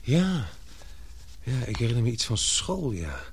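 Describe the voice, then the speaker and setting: Concerned male lover, radio drama, very lively intonation, hesitant, patient, soothing, puzzled. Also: mid-range voice, measured pace, slight background noise. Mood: attentive, thoughtful. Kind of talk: radio play acting.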